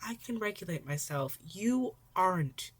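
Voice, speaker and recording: A woman voicing a stern, deadpan grown male hero mentor who speaks bluntly in a fan fiction reading. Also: on the low side, unhurried, slightly rough. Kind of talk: audiobook-style fan fiction character acting.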